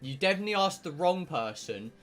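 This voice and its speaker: A man's voice: on the high side, sing-song, fairly quick, fairly bright tone. A British man live streaming a game, rambling casually to his viewers in a blunt, sweary, joking way. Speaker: live streamer playing a visual novel.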